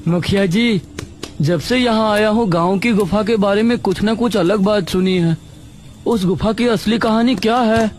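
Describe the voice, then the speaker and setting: Man voicing a high-pitched, curious young village schoolteacher. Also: normal speed, a little hiss or hum, natural voice. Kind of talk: Hindi cartoon story dialogue.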